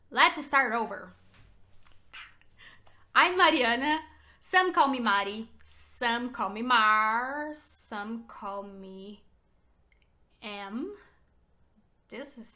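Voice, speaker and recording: A woman from Brazil in her thirties with a Brazilian Portuguese accent, her first language being Portuguese, hosting a personal vlog and telling her story in an unhurried, reflective way. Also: a little high, very animated, sharp tone. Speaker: realtor and channel host. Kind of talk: personal vlog talk to camera.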